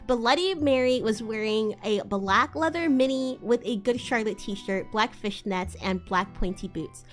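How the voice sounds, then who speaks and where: High-voiced woman reading theatrically, unhurried. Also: faint music behind. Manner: theatrical, expressive. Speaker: livestream host. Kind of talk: live dramatic reading of fan fiction.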